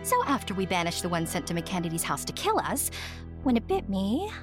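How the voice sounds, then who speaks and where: A woman's voice: mid-range voice, big pitch swings, fairly quick, over music, lightly acted. A woman playing a bold, defiant young witch in a horror-comedy audio drama. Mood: confident narrating.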